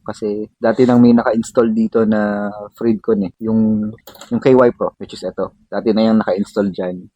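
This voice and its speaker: Male Filipino vlogger, relaxed dark tone, informal unboxing narration sprinkled with English slang. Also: fairly low pitch, dry recording, muffled recording. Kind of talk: casual unboxing and installation demo.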